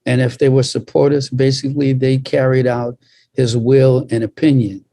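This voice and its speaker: An older man, a pastor, telling a reflective story on a panel with deliberate, emphatic phrasing. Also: medium pitch, flat delivery, mellow, no echo.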